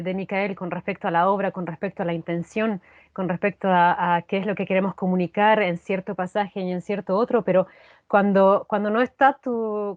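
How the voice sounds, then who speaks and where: Actress, dull dry recording. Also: female voice, medium pitch, a little mellow, dry recording. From Chile. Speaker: actress being interviewed. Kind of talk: conversational interview answers.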